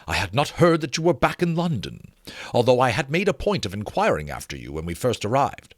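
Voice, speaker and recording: An earnest officer and gentleman, as a man performs him from a novel. He speaks with formal deference and wide, lively swings of pitch. The sound is close and dry. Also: a little fast, no room sound. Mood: pleased, eager. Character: Lawrence, earnest, polite, dutiful officer and gentleman. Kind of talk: dramatic audiobook character reading.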